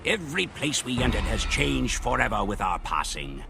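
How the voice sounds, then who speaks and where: A man playing a proud Mongol warrior who solemnly narrates grand conquests in a measured, theatrical way for a game. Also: mid-range voice, sing-song, vocal fry, music in the background. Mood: grave, resolute. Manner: measured, theatrical storytelling delivery. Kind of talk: dramatic scripted narration.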